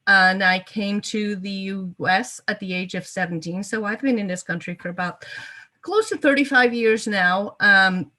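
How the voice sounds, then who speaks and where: A woman's voice: mid-range voice, normal speed, bright, forceful tone. A woman in her fifties, from Portugal and a native Portuguese speaker, telling her life story as an interview guest with frequent ums and repeated words. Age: 50s.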